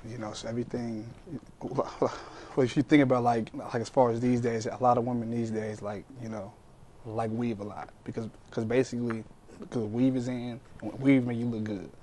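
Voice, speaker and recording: A man's voice: medium pitch, flat delivery, moderate pace, warm tone. A man in his mid twenties chatting casually as a guest, hesitant and repeating himself as he searches for words. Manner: hesitant, repetitive, trailing off. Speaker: panel guest. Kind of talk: casual chat. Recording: faint background noise, room ambience.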